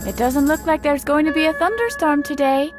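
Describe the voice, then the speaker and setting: A woman's voice: sits high, a little fast, lightly acted. A woman voicing a plucky, wry young girl who escaped an asylum, in an adventure game. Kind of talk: adventure game character acting. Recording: background music under the voice.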